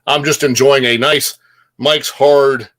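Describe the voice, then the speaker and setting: A man co-hosting a live streamed chat, relaxed and self-deprecating as he talks. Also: mid-range voice, takes it slowly. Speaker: co-host.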